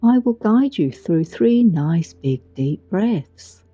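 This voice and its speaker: A woman guiding a meditation in a soothing, gentle, deliberate way with long pauses, recorded with a muffled sound. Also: mid-range voice, animated, no room sound. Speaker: meditation guide. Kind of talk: guided meditation narration. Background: background music.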